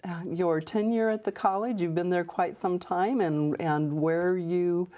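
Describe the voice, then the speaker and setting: Low-voiced woman hosting a local TV talk. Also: steady tone, warm tone. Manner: measured, with frequent ums. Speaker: host, city mayor. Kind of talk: local TV interview. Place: TV studio.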